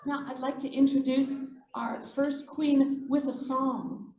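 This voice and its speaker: A woman's voice: high-pitched, flat delivery, slow, a little mellow. A woman hosting a live children's storytime and sing-along, chatty and playful as she gives the audience instructions. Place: echoey indoor room at a library event. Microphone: event microphone. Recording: echoey room, music behind.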